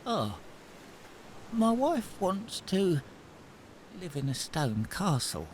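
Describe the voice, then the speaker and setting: Casting: male actor as henpecked fisherman, high voice, slow and plaintive, storybook drama over faint music. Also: very animated, a little hiss or hum, full character voice. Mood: sheepish. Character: meek, reluctant henpecked fisherman husband. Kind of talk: full cast audio fairy tale drama.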